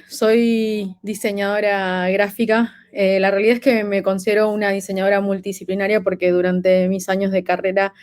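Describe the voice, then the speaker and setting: A woman's voice: mid-range voice, darkish tone. A woman in her thirties from Peru, with a light Peruvian accent from living in Argentina, giving a conference talk with frequent fillers and restarts. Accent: light Peruvian, lived in Argentina. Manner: hesitant, many fillers and restarts. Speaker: conference speaker.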